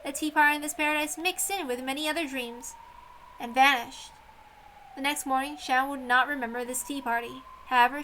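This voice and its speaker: A woman's voice: sits high, sharp tone, a little hiss or hum, poor audio. A woman reading a visual novel aloud in a dramatic storytelling style. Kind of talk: dramatic story narration with character voices.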